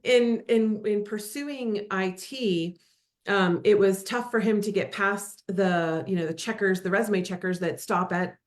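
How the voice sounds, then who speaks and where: A woman's voice: mid-range voice, a little slow. A woman in her fifties, a guest in a casual interview, friendly and laughing often, with frequent ums and restarts. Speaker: guest, realtor.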